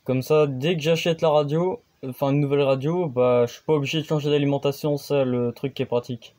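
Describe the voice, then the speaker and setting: A man's voice: fairly high pitch, fairly even intonation, fairly quick, darkish tone. A man, a radio hobbyist host, chatting casually on the air and hesitating often with fillers. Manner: hesitant, many fillers and restarts.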